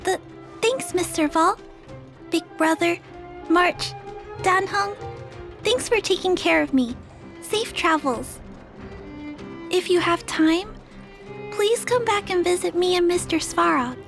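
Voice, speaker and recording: A woman's voice: very high voice, lively intonation, slow pace, long pauses, a bit bright, soft background music, exaggerated voice. A woman voicing a shy, polite, sweet little girl in a video game, timid and well-mannered.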